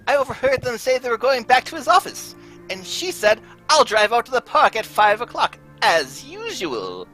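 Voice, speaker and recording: An earnest Victorian gentleman doctor, acted out in a live reading, recounts his adventure like an old-time radio storyteller. The pitch leaps about with excitement. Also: normal speed, soft background music, rough recording. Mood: earnest, excited.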